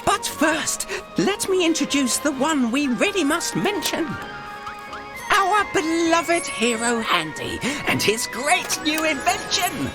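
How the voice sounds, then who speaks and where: High, swooping storybook narrator. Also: female voice, exaggerated voice. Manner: theatrical, enunciated. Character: playful female storyteller narrating a rhyming fairy tale. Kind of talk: scripted storybook narration for a game. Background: music.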